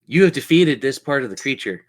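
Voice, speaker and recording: A man's voice: medium pitch, expressive, a little fast. A man narrating a tabletop fantasy game as game master, with vivid, playful descriptions.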